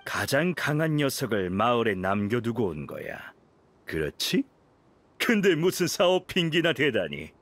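An actor playing a smug, scheming desert thug in a video game, sneering and gloating. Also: male voice, medium pitch, sing-song, measured pace, a bit bright, heavily acted. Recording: music behind the voice.

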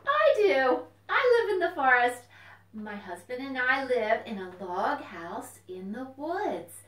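A woman presents storytime to little children. Her voice is high with very animated intonation, and she encourages listeners with simple questions. She sounds some way from the mic in an echoey room. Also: takes it slowly, far from the mic. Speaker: children's librarian, storytime host. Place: indoors at home.

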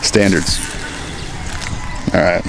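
An American man in his thirties talking to a handheld camera in a town plaza, rambling and joking in a casual vlog. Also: very slow. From United States. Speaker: vlogger. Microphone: handheld camera at arm's length. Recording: outdoor recording with clear street noise.

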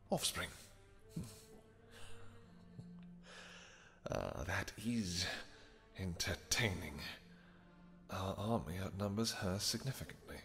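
A man playing a suave male vampire king, smooth, flirtatious and dryly amused, in an audio roleplay. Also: deep voice, pushed and bright, full character voice. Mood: scheming. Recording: long reverb with music behind.

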